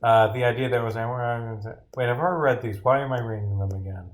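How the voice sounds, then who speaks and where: A man's voice: medium pitch, a little fast. A man streaming a game playtest, muttering casually to himself as he works.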